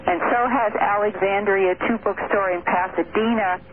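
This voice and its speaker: A woman's voice: medium pitch, steady tone. A woman talks earnestly and precisely as a radio guest. Her voice comes through a narrow, phone-like line with faint noise. She words things formally and carefully. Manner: measured, articulate, formal wording. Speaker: radio guest, researcher and author. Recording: noisy narrow-band telephone radio line.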